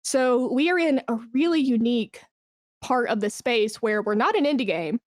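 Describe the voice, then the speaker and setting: A woman with a fairly high voice talks as a guest in a casual interview. She is enthusiastic and informal, swearing freely. The sound is very dry, with no room echo. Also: expressive, normal speed. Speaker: podcast guest, esports brand manager.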